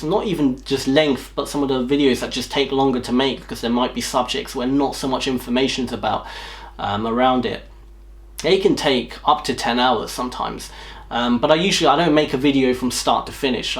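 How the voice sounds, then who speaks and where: Man, English accent, medium pitch, casual, rambling, slightly slurred words. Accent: English, from near London. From England, north of London. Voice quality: slight slur from a jaw impediment. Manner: rambling, lots of ums and restarts. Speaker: YouTube channel host. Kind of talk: casual Q&A chat to camera.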